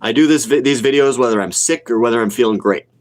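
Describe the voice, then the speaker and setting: A man's voice: medium pitch, quick pace. A man of about forty with a cold, chatting casually on a livestream in a blunt, opinionated way and repeating himself for emphasis. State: sick with a cold, sniffling. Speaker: livestream host, retail investor. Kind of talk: casual livestream chat, answering viewer comments.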